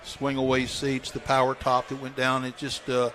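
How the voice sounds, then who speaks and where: Male guest, medium pitch, even flat delivery, casual chat. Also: normal speed. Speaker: guest car owner.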